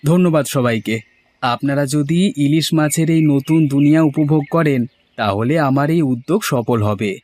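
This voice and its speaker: A man's voice: on the high side, normal speed, darkish tone, dry recording, lightly acted. A man voicing a proud, earnest village man in a cartoon, formal and promotional as he welcomes people. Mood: grateful. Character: proud, earnest village man launching a hilsa fish fair. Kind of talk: scripted cartoon dubbing.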